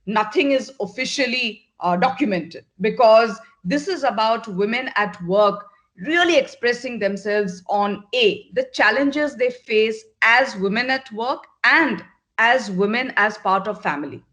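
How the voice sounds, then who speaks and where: Middle-aged woman from northeast india being interviewed, kinda high voice, direct and emphatic, repeats words for stress. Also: unhurried, fairly bright tone. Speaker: guest, agency managing partner. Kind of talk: interview answers.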